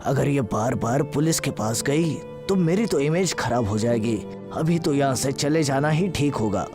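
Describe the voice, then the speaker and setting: A man's voice: medium pitch, expressive, normal speed, music in the background, natural voice. A man voicing an arrogant, scheming boss, the menacing and commanding villain of a cartoon moral story.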